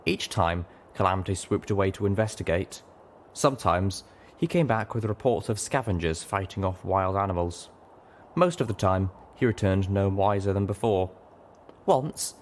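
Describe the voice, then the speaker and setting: A man's voice: sits low, slight background noise. A man reading a scripted first-person story as an audiobook narrator.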